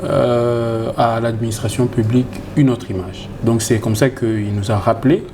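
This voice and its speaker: A man from the Congo with a Congolese French accent, a reform coordinator answering interview questions in a formal, halting way with many fillers. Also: mid-range voice, steady tone. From Democratic Republic of the Congo. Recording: noticeable background noise.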